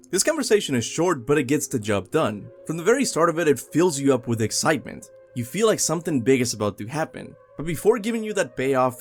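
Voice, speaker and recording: A man presenting scripted video game commentary, speaking enthusiastically and emphatically straight to his viewers. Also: mid-range voice. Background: music.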